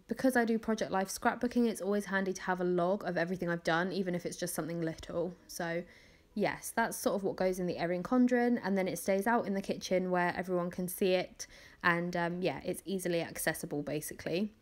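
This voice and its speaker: A young woman in her twenties chatting casually through a planning video voice-over, rambling with many ums and small laughs, her voice crackly from early morning. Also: fairly low pitch. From England. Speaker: planner vlogger.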